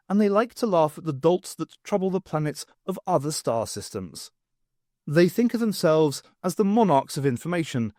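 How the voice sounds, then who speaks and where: Animated male audiobook narrator, close dry sound. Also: fairly high pitch, no room sound. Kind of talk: expressive scripted narration.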